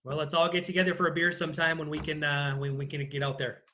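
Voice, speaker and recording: A man's voice: a little high, little pitch movement, fast, bright, forceful tone, a little hiss or hum. A man hosting a casual interview, putting questions to his guest in an informal way. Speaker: interview host. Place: video call.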